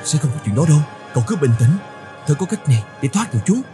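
Cartoon antelope,, calm, kind, steady reassuring delivery, background music. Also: male voice, on the high side, fast. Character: calm, kindly, reassuring male antelope friend. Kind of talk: cartoon voice acting.

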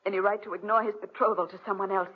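Lady playing tormented proud young blind woman, husky, defensive, muffled old radio drama recording. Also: mid-range voice, steady tone, very fast, lightly acted. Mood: anguished. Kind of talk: 1940s radio acting.

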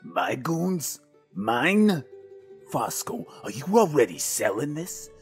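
A man playing a gruff, scornful Prohibition-era crime boss in an audio drama, commanding and indignant. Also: fairly high pitch, sing-song, takes it slowly, music in the background, full character voice.

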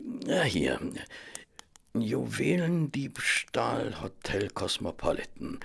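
An actor playing a genteel, attentive detective's companion with a husky voice, polite and slightly hesitant, in a German audio drama. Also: male voice, on the low side, full character voice. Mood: hesitant, musing.

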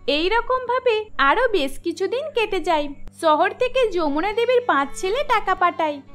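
A woman's voice: very high voice, expressive, moderate pace, fairly bright tone. A woman narrating a scripted cartoon story as its storyteller. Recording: music under the voice.

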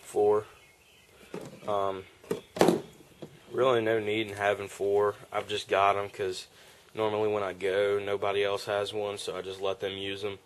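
Laid-back young man, flat voice. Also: lowish voice, a little slow, long pauses, slight background noise. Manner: laid-back, rambling with fillers. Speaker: fishing vlogger. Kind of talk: casual show-and-tell vlog. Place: outdoor wooden porch.

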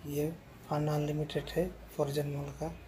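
A man talks slowly and evenly as he walks through a shopping mall, filming on his phone. His voice is mellow and fairly high, and he keeps pointing things out and calling the viewers friends. Faint mall noise sits behind him. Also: completely flat delivery. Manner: repetitive, addresses viewers as friend. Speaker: vlogger giving a walking tour. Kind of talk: casual walking tour narration. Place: outdoors and inside a large shopping mall.

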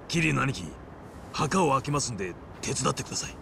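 An actor playing a rough, eager yakuza underling in a game cutscene, with a blunt manner and a Hiroshima accent. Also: male voice, mid-range voice, lively intonation, fairly quick, noisy background. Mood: busy, urging. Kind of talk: video game cutscene acting. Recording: prominent game music behind.

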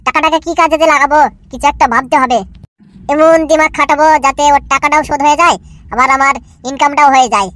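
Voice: high-pitched, fairly even intonation, fast talker, a bit bright, no echo. A performer voicing a broke, sulky, scheming young lad in a comedy cartoon. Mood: pondering. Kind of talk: Bengali comedy cartoon dubbing.

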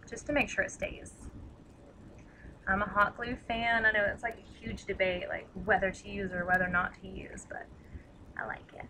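A woman with a tired, slightly raspy voice chatting casually through a craft tutorial, rambling and self-deprecating as she works. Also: mid-range voice, noisy background. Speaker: craft demonstrator.

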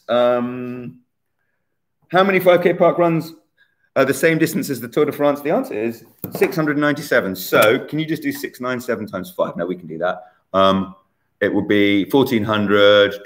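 Man in his forties, fairly low voice, teasing quiz host, laptop webcam at home. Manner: chatty, teasing, self-mocking. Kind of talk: casual quiz hosting. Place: bright room at home.

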